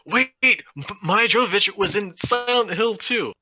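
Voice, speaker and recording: A man's voice: high-pitched, animated, a little slow, sharp tone, dull audio. A man chatting casually and animatedly as a podcast panelist.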